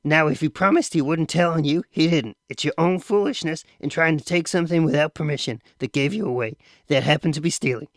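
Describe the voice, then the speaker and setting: A stern industrialist father who presses his son with clipped questions, as a male reader plays him. His voice sits a little above the reader's own, gravelly and dry. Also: fairly high pitch, brisk, hardly any pauses, no echo, pitched above the performer's own voice, natural voice. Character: stern wealthy father, firm and impatient.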